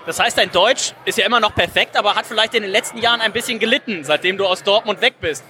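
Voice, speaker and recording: A man's voice: high-pitched, fast talker, sharp tone. A man interviewing a guest, speaking fluently and asking long, enthusiastic questions.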